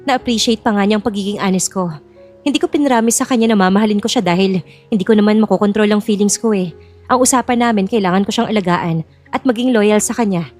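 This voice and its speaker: A woman's voice: medium pitch, fast, darkish tone, soft background music, close to an everyday voice. A woman playing a frank, determined twenty-two-year-old in an audio drama, speaking bluntly with a Filipino accent. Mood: frank, defiant. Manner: blunt, unapologetic.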